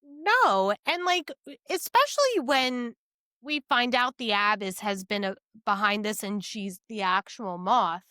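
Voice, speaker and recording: A woman's voice: a little high, expressive, unhurried, sharp tone, no echo, studio quality. A woman co-hosting a podcast, chatting casually about books in a blunt, emphatic way with some swearing. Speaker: podcast co-host. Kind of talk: casual book review chat.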